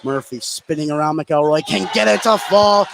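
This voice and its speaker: A man's voice: a little high, normal speed. An American man doing live play-by-play commentary on a basketball game. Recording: clear gym noise behind voice. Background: sports venue ambience.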